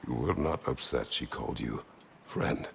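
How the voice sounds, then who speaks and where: An actor playing a stern, stoic male warrior in a video game, speaking tersely and formally in a gravelly voice. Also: extremely low pitch, moderate pace, a little hiss or hum, full character voice. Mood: probing. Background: music.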